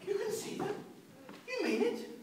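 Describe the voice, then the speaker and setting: A man voicing a frightened young boy who yells in high, frantic bursts, heard from a distant mic in an echoey, noisy hall. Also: sing-song, moderate pace. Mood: amazed.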